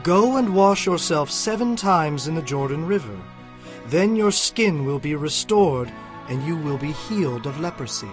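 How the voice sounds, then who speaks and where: Actor as a stern, higher-voiced prophet. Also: male voice, animated, normal speed, faint music behind, close to an everyday voice. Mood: commanding, assured. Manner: solemn, authoritative. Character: stern, commanding prophet, man of God. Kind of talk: dramatized audio Bible acting.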